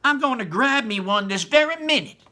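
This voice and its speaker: A man's voice: very high-pitched, expressive, brisk, sharp tone, pitched above the performer's own voice, heavily acted. A man voicing a spoiled, demanding little girl in a whiny, bratty way while reading a storybook aloud. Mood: defiant.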